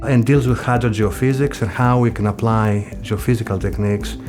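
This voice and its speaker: Middle aged greek guy, lowish voice, professor explaining his research, music playing behind. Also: fairly even intonation, brisk. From Greece. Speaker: engineering professor. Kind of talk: explanatory interview talk about own research. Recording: music bed under the voice. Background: background music.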